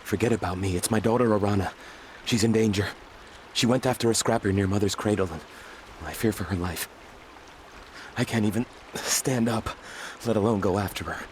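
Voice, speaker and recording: A man's voice: on the low side, fairly quick, darkish tone, slight background noise, natural voice. A man voicing an injured, widowed tribesman in a video game, a guilt-ridden father who speaks in a strained, pleading way. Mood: pleading, worried. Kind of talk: game dialogue acting.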